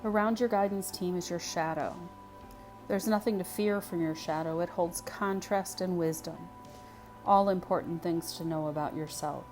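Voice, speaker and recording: A woman leading a guided meditation in a soothing, unhurried way, speaking phrase by phrase with pauses. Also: fairly low pitch, a little mellow. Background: music.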